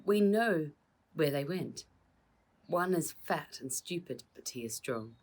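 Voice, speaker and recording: A woman's voice: low-pitched, lively intonation, slow, darkish tone, heavily acted. A woman reading the parts of plucky, earnest children in a storybook adventure, speaking with urgency. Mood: indignant.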